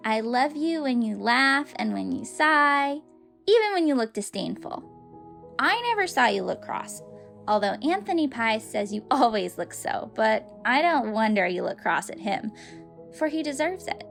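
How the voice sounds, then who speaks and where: A woman's voice: a little high, lively intonation, a little slow, gravelly, a bit bright, soft background music, heavily acted. A woman playing an adoring schoolgirl who reads a flowery love letter in a gushing, dramatic audiobook style. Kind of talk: audiobook character reading.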